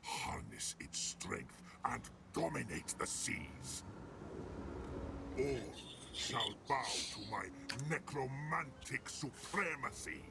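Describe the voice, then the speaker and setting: An actor playing a haughty undead vampire pirate count, menacing and grandiose, in a pompous theatrical manner over game music. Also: male voice, sing-song, slow, noisy background, full character voice. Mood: grandiose menace. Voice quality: deep gravelly voice. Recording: game cutscene with loud music.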